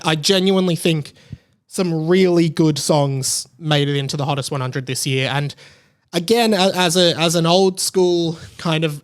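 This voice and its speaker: A man's voice: a little high, clean tone. A young Australian man chatting casually and enthusiastically as a solo podcast host. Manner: enthusiastic, effusive.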